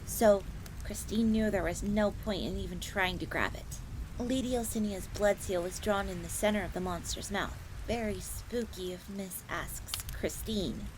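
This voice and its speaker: A woman's voice: mid-range voice, normal speed, natural voice. A woman playing a chatty, deferential fox maid who talks about herself in the third person in a fantasy audio roleplay. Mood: chatty, explanatory. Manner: refers to self in third person, informal, explanatory. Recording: clear background noise, crackling fire ambience.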